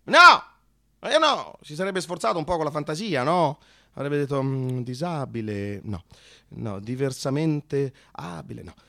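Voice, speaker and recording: Cattolico di sinistra agitato, voce acuta. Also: male voice, fairly high pitch, lively intonation, unhurried, gravelly, heavily acted. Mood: agitated. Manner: stammering, repeating phrases, swinging from muttering to shouting. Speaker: narrator-actor of a satirical monologue. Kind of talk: theatrical comic monologue for radio.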